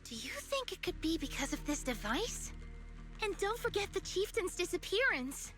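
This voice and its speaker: A woman voicing an earnest young illusionist in an anime-style video game, speaking in a gentle, thoughtful, explanatory manner. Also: very high voice, very animated, normal speed, heavily acted. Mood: thoughtful, serious. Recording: music behind the voice. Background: background music.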